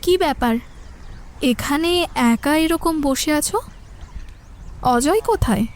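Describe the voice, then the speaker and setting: A woman playing a curious, concerned young woman in an audio drama, asking questions in measured phrases. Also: sits high, lively intonation, darkish tone. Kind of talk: Bengali audio drama acting.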